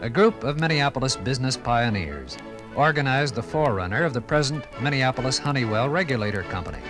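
Formal 1950s male radio narrator. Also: mid-range voice. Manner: measured, formal storytelling.

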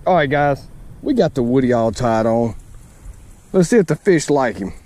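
A man's voice: medium pitch, animated, normal speed, darkish tone. A young man chatting casually and informally to viewers as he vlogs about fishing outdoors. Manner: informal, addresses viewers as guys and y'all. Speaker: fishing vlogger. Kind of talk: casual vlog chat to viewers. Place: outdoors beside a pond in open grassland. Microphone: action camera, handheld or body-mounted. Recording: faint outdoor ambient noise, action camera.

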